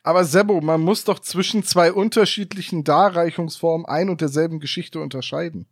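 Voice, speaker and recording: A man's voice: a little high, steady tone, fairly quick, dry recording, clean studio sound. A man co-hosting a podcast, talking casually and reasoning things out as he explains. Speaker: podcast co-host. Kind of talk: casual discussion.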